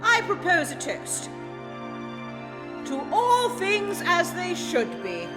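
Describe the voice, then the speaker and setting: Lady as a gentleman sidekick, slow grand announcements, swooping pitch, hall reverb. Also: huge pitch swings, heavily acted. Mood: ceremonious. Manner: ceremonious, declaiming. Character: ceremonious male Victorian detective's companion. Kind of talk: scripted radio play acting. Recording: reverberant hall under clear music.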